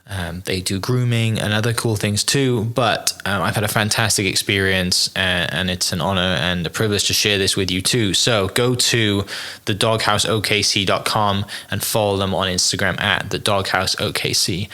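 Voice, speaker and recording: A man's voice: lowish voice, steady tone, moderate pace, bright, forceful tone. A man hosting a podcast, chatty and upbeat, reading sponsor spots and welcoming a guest. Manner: upbeat, chatty, uses fillers. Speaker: podcast host.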